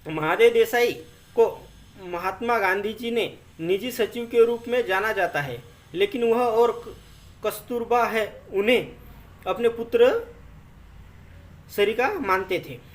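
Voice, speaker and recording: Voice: medium pitch, slow, pauses often. A presenter reads notes aloud as an informal explainer, repeating and rephrasing points and often addressing listeners as friends. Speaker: educational video presenter.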